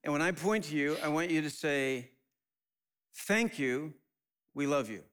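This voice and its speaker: A man's voice: on the high side, expressive, measured pace, a bit bright, slight background noise. A man hosting a live church service, upbeat and rallying the crowd. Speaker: church host.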